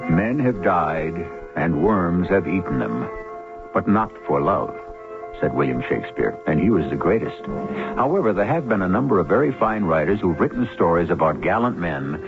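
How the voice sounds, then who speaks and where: Male mystery host: low, creaky, animated, sardonic scripted narration, vintage radio sound. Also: phone-quality sound. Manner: theatrical, sardonic, measured. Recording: band-limited old radio broadcast, music behind.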